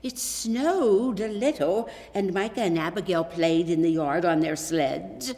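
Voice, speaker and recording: A woman reading a children's Christmas story aloud as scripted narration, in an expressive, deliberate way with dramatic pauses. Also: on the low side. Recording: echoey hall with long reverb.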